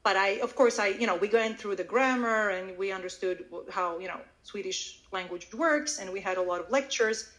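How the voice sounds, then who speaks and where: A woman from Croatia, a language teacher telling a personal story in an animated, chatty, self-interrupting way. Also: medium pitch, normal speed, few pauses, poor audio. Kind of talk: casual personal storytelling at a live online event.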